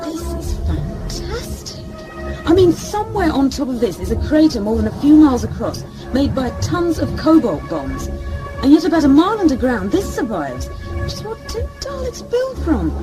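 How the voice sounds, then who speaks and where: An actor playing a young, excitable and blunt scavenger crew member in a science fiction audio drama. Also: high voice, normal speed, music in the background, rough recording. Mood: amazed.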